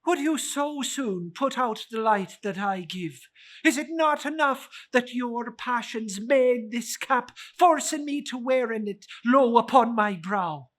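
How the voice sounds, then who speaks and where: A man's voice: very high-pitched, expressive, takes it slowly, a bit bright, voice pushed up, heavily acted. A man voicing an ageless, childlike yet elderly Christmas spirit in a storybook reading, solemn, stern and declamatory. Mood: stern reproach.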